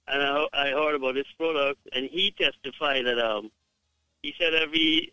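A man's voice: fairly high pitch, bright, forceful tone, no room sound, poor audio. A man calling in to a radio show, talking hesitantly with stammers and fillers. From Guyana. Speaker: caller. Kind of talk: casual on-air testimonial.

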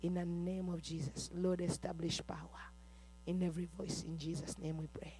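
A man's voice: high-pitched, level tone, moderate pace, mellow, slight background noise, poor audio. A man leading a fervent chanted prayer with rhythmic, repetitive declarations full of "uh", breaking into tongues between them. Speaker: preacher.

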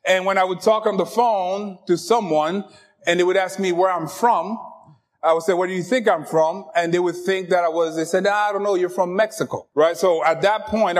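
High-voiced French-native man preaching. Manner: lively, joking, talks to the congregation. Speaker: pastor. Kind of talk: sermon.

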